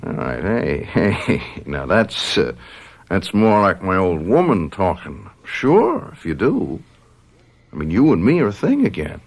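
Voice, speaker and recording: An actor playing a callous young hip boyfriend in a radio drama, slangy, flippant and evasive. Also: male voice, sits low, huge pitch swings, measured pace, slightly rough, full character voice. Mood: slick, persuasive.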